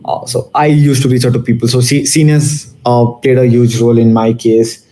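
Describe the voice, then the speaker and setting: A young man from Malaysia talks to a room of students in a loose, conversational way. His voice is mellow and sits in a middle pitch, and he often pauses on fillers and restarts his sentences. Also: little pitch movement, slight background noise, low-quality recording. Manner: casual, many fillers and restarts. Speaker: guest speaker. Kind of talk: conference talk to students.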